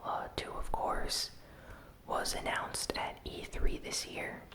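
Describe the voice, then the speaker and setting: A host whispering a gaming news recap in a soft, unhurried ASMR style. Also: poor audio. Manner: slow, soft, unvoiced whispering.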